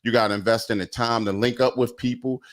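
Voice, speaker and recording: A man's voice: medium pitch, fairly even intonation, fast talker. Easygoing guy in his 40s hosting, loose and rambly, lots of ums. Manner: laid-back, rambling with fillers. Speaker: host. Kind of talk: casual podcast chat. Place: Atlanta.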